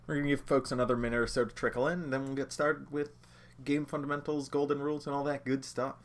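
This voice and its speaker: A man with a slightly nasal voice from being under the weather, teaching a livestreamed lesson in a relaxed, chatty way, talking straight to his viewers. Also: medium pitch, quick pace. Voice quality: a bit nasal. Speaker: judge training instructor.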